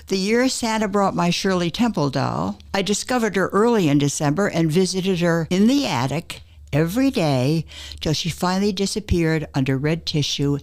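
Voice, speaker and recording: Older woman, somewhat low pitch, scripted storytelling, essayist reading her own work.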